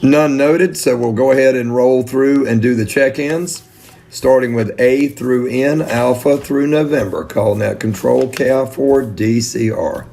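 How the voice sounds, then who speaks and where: A man with plenty of vocal fry runs a ham radio net as its controller. He reads procedures and acknowledges check-ins in a mid-pitched, creaky voice. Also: a little slow, mellow.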